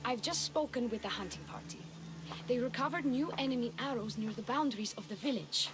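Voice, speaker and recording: A woman's voice: a little high, animated, brisk, close to an everyday voice. A woman playing a bold, fierce young warrior from a Greek village in a video game cutscene. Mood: urgent. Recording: loud music behind the voice.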